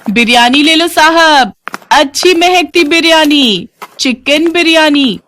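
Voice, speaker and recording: A woman's voice: high voice, slow, a bit bright, pitched above the performer's own voice. A woman voicing a weary poor widowed mother in a moral story, plaintive and beseeching. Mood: calling out. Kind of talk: animated Hindi moral story acting.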